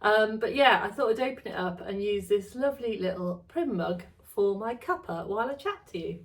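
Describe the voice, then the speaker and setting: Woman, sewing vlogger, casual chat, slightly gravelly voice, home studio, a little off-mic. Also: medium pitch, lively intonation, normal speed, distant mic. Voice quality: chatty, rambling, self-correcting.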